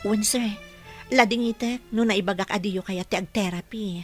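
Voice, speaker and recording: A woman playing a patient, dutiful caregiver in a radio drama, speaking politely and gently giving instructions. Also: mid-range voice, moderate pace, faint music behind, close to an everyday voice. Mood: polite, firm. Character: patient, dutiful young female caregiver coaching a patient. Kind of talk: Ilocano radio drama acting.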